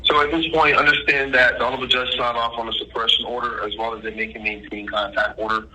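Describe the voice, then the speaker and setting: A man's voice: medium pitch, little pitch movement, quick pace, bright, forceful tone. A man on a phone call speaking formally and insistently as a caller posing as an official, in legal language and addressing the listener as ma'am. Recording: telephone line with faint noise.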